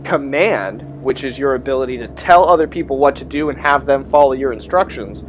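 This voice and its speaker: A man explaining a tabletop roleplaying game as its game master, stressing key words and pausing between phrases. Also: medium pitch, muffled recording, slight background noise. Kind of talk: explanatory talk on tabletop game characters and rules.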